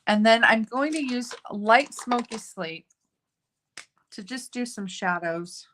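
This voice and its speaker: A woman's voice: on the high side, animated, takes it slowly, a bit bright, slight background noise. A woman hosting a casual live card-making tutorial, chatting as she works and joking about her own slips. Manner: chatty, self-narrating, laughs at own slips.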